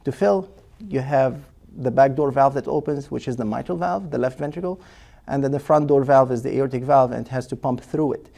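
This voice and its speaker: Gravelly man explaining. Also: mid-range voice, brisk, warm tone. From Lebanon. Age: 40s. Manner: patient explainer, many fillers and restarts. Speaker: cardiologist guest. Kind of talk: explanatory interview answers.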